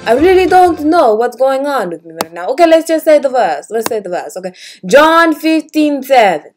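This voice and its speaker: A woman's voice: high-pitched, big pitch swings, normal speed, faint music behind. A young woman teaching a kids' Bible lesson in a playful way, trying out silly accents. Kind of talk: playful scripted kids lesson.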